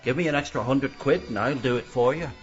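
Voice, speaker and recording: An actor playing a guarded, dry-witted career criminal in a radio mystery, speaking tersely. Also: male voice, mid-range voice, a little fast, natural voice. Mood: dry, businesslike. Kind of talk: radio mystery drama acting. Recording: dull band-limited audio with music.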